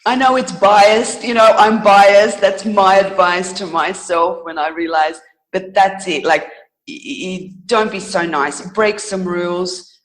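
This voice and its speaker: A woman answering interview questions in a casual, reflective way, earnest and halting, pausing to think and correcting herself. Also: on the low side, steady tone, low-quality recording. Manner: earnest, halting, thoughtful pauses with self-corrections. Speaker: interview guest, author.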